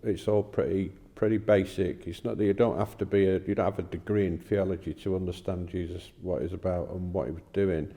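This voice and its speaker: A plain-spoken man talking haltingly in a casual discussion of faith, recorded with long reverb. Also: deep voice, fairly even intonation, creaky, dark tone.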